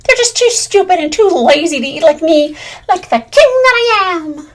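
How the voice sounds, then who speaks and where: A man's voice: very high voice, animated, moderate pace, fairly bright tone, pitched above the performer's own voice. A woman voicing a greedy, spiteful male squirrel who screams and gloats with maniacal glee. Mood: gloating.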